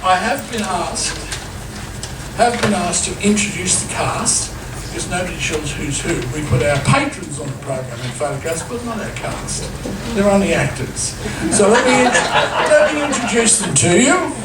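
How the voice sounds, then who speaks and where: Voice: medium pitch, lively intonation, moderate pace. An announcer casually introducing the cast at a live show. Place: live performance in a lodge hall. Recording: echoey hall, distant mic, loud noise.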